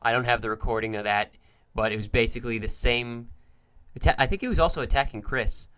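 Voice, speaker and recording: A man's voice: mid-range voice, fast talker, sharp tone, clean tone, no echo. A young man commentating game replays, explaining each attack step by step, with a cold wearing on his voice. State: has a cold, voice going. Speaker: gaming commentator.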